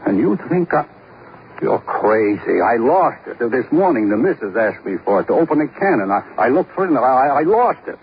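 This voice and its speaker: Blustery, swooping radio suspect. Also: male voice, mid-range voice, very animated, brisk. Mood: indignant, pleading. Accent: American. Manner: blustery, slangy, protesting. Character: defensive, boastful married man, self-styled respectable. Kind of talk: 1950s radio crime drama acting. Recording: muffled, hissy old radio broadcast.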